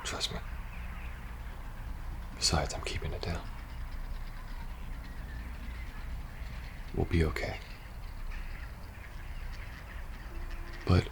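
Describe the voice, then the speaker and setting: Over faint background ambience comes an extremely deep-voiced soldier, played by a man in an ASMR audio drama. He is weary and uneasy, talking haltingly and casually as if confiding in his closest friend. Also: level tone, gravelly, noisy background. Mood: confiding, worried. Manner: hesitant, halting, casual swearing, sighing. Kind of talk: ASMR audio roleplay acting.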